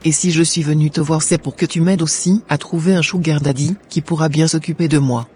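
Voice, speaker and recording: Man voicing a husky young woman. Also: on the high side, steady tone, brisk, darkish tone. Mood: chatty. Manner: earnest, plaintive, then grateful and pious.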